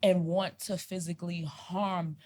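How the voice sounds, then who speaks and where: A woman's voice: fairly low pitch, little pitch movement, unhurried, dark tone. A woman co-hosting a podcast, speaking with passion, repeating herself as she pleads with listeners. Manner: impassioned, repetitive, pleading. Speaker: podcast co-host. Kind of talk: earnest podcast discussion.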